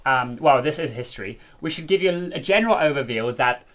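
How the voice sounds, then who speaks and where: A man's voice: medium pitch, expressive, a little fast, a bit bright. A South African man whose first language is Afrikaans, co-hosting a casual chat and playfully explaining a folk song's history with many fillers. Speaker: co-host, musician.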